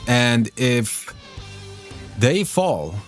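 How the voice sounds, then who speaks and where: A man's voice: medium pitch, sing-song, very slow, faint music behind. A man from Greece and Sweden hosting a live stream, talking casually and earnestly about current events in English. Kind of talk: casual live political commentary.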